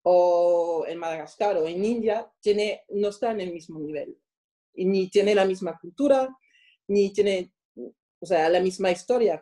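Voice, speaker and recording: A woman from France with a French accent, giving reflective interview answers and often pausing to search for the right words. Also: medium pitch, mellow. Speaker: interviewed guest.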